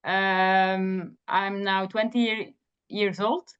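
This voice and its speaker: A woman's voice: mid-range voice, flat delivery, drawn-out words, fairly bright tone, clean tone. Belgian woman with a Dutch-speaking background, lo-fi sound. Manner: earnest, with frequent fillers and restarts. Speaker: job candidate. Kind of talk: self-introduction in an interview. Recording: dull lo-fi sound.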